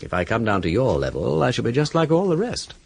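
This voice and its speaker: A mysterious, aloof gentleman sleuth in a period British radio drama. His upper-class English voice is husky and breathy at a medium pitch, leaping dramatically up and down. He is sardonic and teasing, forever taunting in an arch tone. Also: wildly swooping pitch, fast, exaggerated voice. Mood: disdainful.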